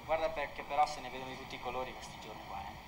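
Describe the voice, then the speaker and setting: An actor with an Italian accent playing a fussy, excitable uniformed guard in a film, insistent and repeating himself. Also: male voice, mid-range voice, racing, natural voice. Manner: insistent, repetitive. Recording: echoey room with clear background noise.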